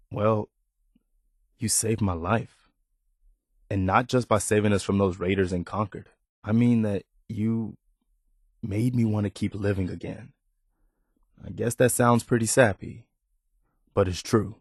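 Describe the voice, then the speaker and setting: An actor playing an earnest, weary militia soldier in a video game, loyal and humble, with a sincere, plain-spoken manner. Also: male voice, lowish voice, no room sound, clean studio sound. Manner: sincere, plain-spoken, hesitant when opening up. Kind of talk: video game character dialogue.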